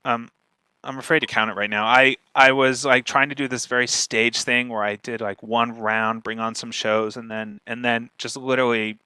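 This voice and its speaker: A man's voice: mid-range voice, level tone, moderate pace, bright, forceful tone, smooth, dry recording, rough recording. A man speaking as a podcast strategist in an informal question-and-answer talk, emphatic and opinionated.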